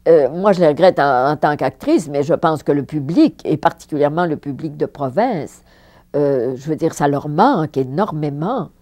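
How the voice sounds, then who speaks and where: An older woman from France with a Parisian accent, her low, mellow voice rising and falling a great deal. She speaks emphatically, repeating words for stress, as an actress looking back on her career in a sit-down interview at home. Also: moderate pace. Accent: Parisian French. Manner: animated, emphatic repetitions, frequent laughs. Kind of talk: reminiscing interview. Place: living room with fireplace, indoors.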